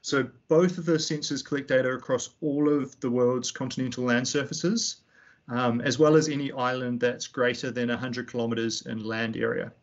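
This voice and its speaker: A young man with a New Zealand accent, giving a tutorial over a slide presentation in a relaxed way, with frequent ums and restarts. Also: mid-range voice, moderate pace, lo-fi sound. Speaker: fisheries analyst giving a tutorial. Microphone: computer mic on a video call.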